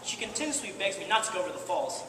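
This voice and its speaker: A woman's voice: medium pitch, very animated, very fast, lightly acted. A woman on stage playing a grumpy, exasperated young Adam, speaking in an indignant, pompous, theatrical way. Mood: aggrieved, boastful. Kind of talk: stage play dialogue, theatrical delivery. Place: amateur stage performance in a large hall. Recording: echoey hall from far off, noisy.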